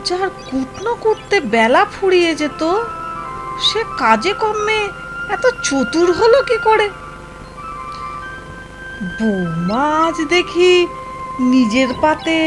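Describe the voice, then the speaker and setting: A woman's voice: very high-pitched, very animated, exaggerated voice. A woman playing a suspicious, fretful old village mother-in-law in a cartoon, exclaiming and muttering. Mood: wondering, suspicious. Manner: wondering, exclamatory, drawn-out muttering. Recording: music behind the voice.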